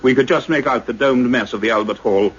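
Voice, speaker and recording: A man playing an earnest scientist doctor in a radio play, narrating his own adventure in a measured, suspenseful, literary way. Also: medium pitch, close to an everyday voice. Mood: grave suspense. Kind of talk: dramatic first-person radio play narration. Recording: dull noisy radio play recording.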